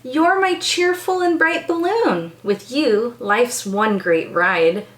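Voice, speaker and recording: American lady doing kids storytime, super sing-song and bubbly, slow pace, echoey room. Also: fairly high pitch, animated, a bit bright. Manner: bubbly, enthusiastic, exaggerated child-directed delivery. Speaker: children's storytime teacher and host. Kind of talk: read-aloud storytime for young children.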